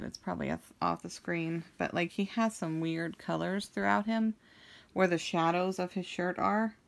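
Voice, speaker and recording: A young woman with a deep, somewhat monotone voice, chatting in a slow, meandering way with many pauses and ums as she works on a craft for her vlog. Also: gravelly. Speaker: craft vlogger. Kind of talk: rambling chat while crafting.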